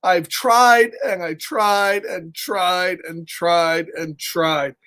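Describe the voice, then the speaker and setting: A man's voice: high-pitched, steady tone, extremely slow, sharp tone, heavily acted. A man voicing a self-doubting male elephant from a children's picture book, with emphatic delivery. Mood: dejected, frustrated.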